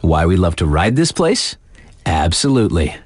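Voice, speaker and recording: A man playing a laid-back, thrill-loving snowboard radio DJ in a video game, reading casual mountain weather updates with slang and playful dramatic pauses. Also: sits low, very animated, dry recording, studio quality. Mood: wry, hyped.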